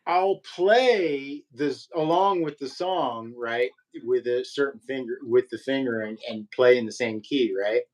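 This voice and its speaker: A man with a low voice in casual conversation, rambling and halting with many fillers and restarts. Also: a little mellow. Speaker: podcast co-host. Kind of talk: casual chat about guitar.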